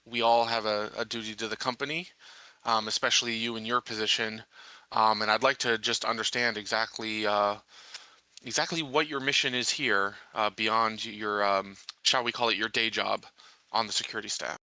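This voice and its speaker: Very bright, low-pitched man, hesitant. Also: steady tone, no room sound. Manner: measured, hesitant, searching for words. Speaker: tabletop game player. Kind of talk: casual, improvised in-character role play.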